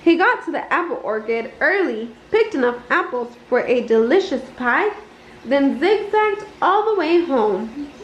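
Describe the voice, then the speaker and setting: High-voiced woman reading a children's story aloud. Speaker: picture book reader. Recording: roomy, dull home recording with noise.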